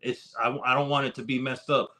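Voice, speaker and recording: A man's voice: medium pitch, little pitch movement, brisk, sharp tone. American man hosting a casual interview. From United States, Rhode Island and San Diego. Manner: encouraging, repeats his phrases for emphasis. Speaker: interview host.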